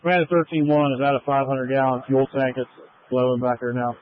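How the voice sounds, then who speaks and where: A man's voice: medium pitch, steady tone, brisk, no echo. A man acting as fire incident commander, speaking in a matter-of-fact, procedural way over a two-way radio. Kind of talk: emergency radio traffic. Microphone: radio handset. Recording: tinny narrowband fire radio transmission.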